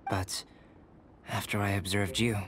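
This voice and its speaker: An actor playing a calm young male alchemist scholar in a video game, speaking in a measured, contemplative and formal manner. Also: on the low side. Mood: explanatory. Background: music.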